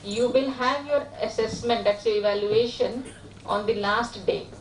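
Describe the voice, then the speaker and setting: Woman, organiser, brisk and joking, higher voice, far from mic, roomy echo. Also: slight background noise. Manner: brisk, joking, hesitant restarts. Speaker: course organiser. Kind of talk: classroom announcements. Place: lecture hall. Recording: echoey hall from far off.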